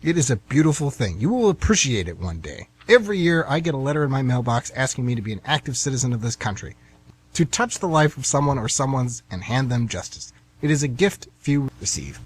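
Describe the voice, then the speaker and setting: A man's voice: mid-range voice, big pitch swings, brisk, breathy edge. A man playing a pompous, easily offended would-be novelist in his fifties in an amateur radio comedy. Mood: indignant, lofty. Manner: pompous, grandiloquent, indignant. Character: pompous, defensive 52-year-old aspiring novelist man.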